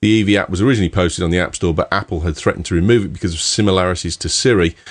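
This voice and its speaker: A man with a Birmingham accent, co-hosting a casual tech podcast and reading news out in a chatty way. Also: low-pitched. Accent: Birmingham English. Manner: chatty, reads news aloud. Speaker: podcast co-host.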